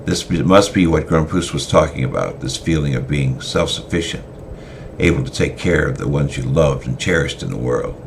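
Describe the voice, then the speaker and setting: Very deep, gravelly male storyteller. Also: slight background noise. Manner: halting, with fillers and restarts. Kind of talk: semi-dramatic story reading.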